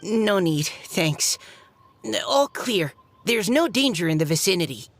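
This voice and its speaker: A woman's voice: lowish voice, animated, a little slow, a little hiss or hum. A woman voicing a modest, earnest young boy knight in an anime game, polite and a little hesitant. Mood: friendly, admiring. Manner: hesitant, polite, self-effacing. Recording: background music under voice.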